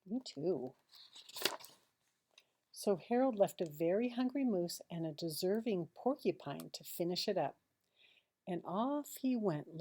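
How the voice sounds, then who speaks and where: A woman reads a children's picture book aloud like a teacher, expressively, with dramatic pauses and hushed asides. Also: lowish voice.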